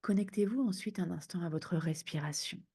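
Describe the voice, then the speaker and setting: A woman guiding a sleep meditation in a soothing, hushed manner, speaking in unhurried phrases with pauses. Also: on the low side, husky. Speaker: yoga nidra guide.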